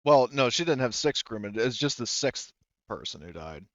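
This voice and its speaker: A man narrating a tabletop roleplaying game as game master, in a halting, informal way. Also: mid-range voice, very animated, dry recording, dull audio.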